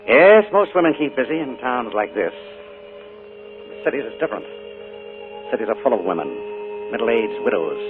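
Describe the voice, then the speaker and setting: Creepy charming uncle, lowish voice, swings up and down, tinny 1940s radio sound. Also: male voice. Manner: smooth, then cold and contemptuous. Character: charming sinister middle-aged uncle, a killer. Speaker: radio drama actor.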